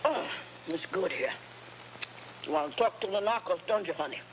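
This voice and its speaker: An actress playing a flirtatious, cooing hostess, coy and playful, in a vintage TV adventure. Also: female voice, mid-range voice, animated, normal speed, lightly acted. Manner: coy, giggly, drawling endearments. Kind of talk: 1950s TV adventure acting. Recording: muffled old TV soundtrack with noise.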